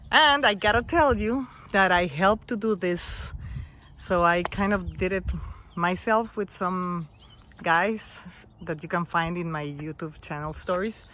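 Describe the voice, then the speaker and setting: A woman's voice: fairly low pitch, slow pace, a bit bright, slight background noise. A middle-aged woman from Mexico, a TV host whose first language is Spanish, chatting openly in English with a Mexican Spanish accent and joking about her own English. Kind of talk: casual vlog chat.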